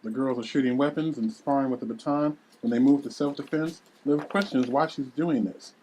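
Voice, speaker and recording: A man with a husky voice reading screenplay directions aloud as narrator. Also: mid-range voice, fairly quick, a little mellow, a little hiss or hum, low-quality recording.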